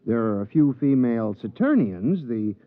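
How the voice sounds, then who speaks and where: An actor playing a meek, polite, lonely little geologist in a 1950s radio drama, mild and earnest. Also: male voice, mid-range voice, big pitch swings, normal speed, dry recording, close to an everyday voice. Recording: muffled, dry 1950s radio recording.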